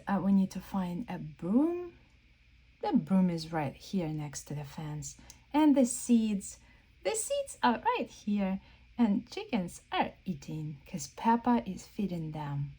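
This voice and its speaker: A woman's voice: on the low side, dark tone, slightly rough. A woman reading a picture book aloud to young children, asking simple, repeated questions and prompting them to look. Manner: playful question-and-answer, prompting listeners to look; simple, repetitive phrasing aimed at small children. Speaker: children's read-aloud host.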